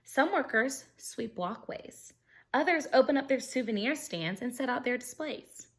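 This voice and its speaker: A woman's voice: sits high, animated, a little slow. A woman teacher reading a children's picture book aloud expressively, explaining things simply and putting questions to her young listeners.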